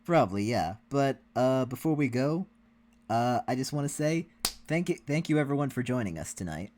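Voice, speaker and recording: A man's voice: mid-range voice, animated, normal speed, darkish tone. A man co-hosting a reaction livestream, chatting casually with the viewers. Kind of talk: casual chat with stream viewers.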